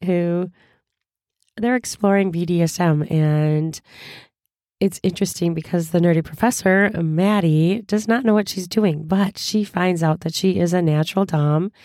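Hoarse woman host, cheerful and chatty, lower voice, dry close recording. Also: measured pace, a little mellow, no echo. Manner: chatty, upbeat, laughs often. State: hoarse from a cold. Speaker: podcast host. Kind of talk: casual solo podcast chat.

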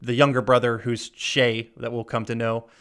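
A man's voice: mid-range voice, little pitch movement, normal speed, fairly bright tone, clear, resonant tone. A man co-hosting a podcast in casual chat, rambling through a film's scenes with playful quoted lines, laughing and swearing now and then. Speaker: podcast co-host.

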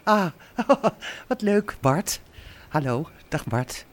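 A woman's voice: on the low side, very animated, very slow, heavily acted. A woman playing an affectionate, fussing mother of grown-up children in a radio drama, repeating herself as she goes. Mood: flustered. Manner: fussy, repeats herself, coaxing endearments. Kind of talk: naturalistic Dutch radio drama acting.

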